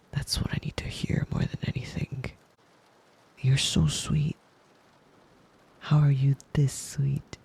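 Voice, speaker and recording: A devoted wolf-boy boyfriend, acted by a man, murmurs in a very husky, breathy ASMR voice that often falls to a whisper. He is tender and possessive, lingering slowly over every endearment in a low, soothing bedtime tone. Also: warm tone, heavily acted. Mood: adoring, praising. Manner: tender, doting, slow and lingering with pet names. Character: devoted, possessive young male wolf-boy lover, doting.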